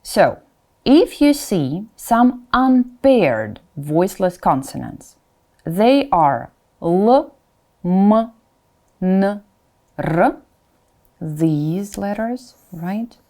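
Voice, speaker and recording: A woman's voice: medium pitch, very animated, drawn-out words, pauses often, mellow. A woman teaching a pronunciation lesson, repeating example words distinctly and checking in with learners informally. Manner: informal asides, checks in with "right?". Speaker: Russian language teacher.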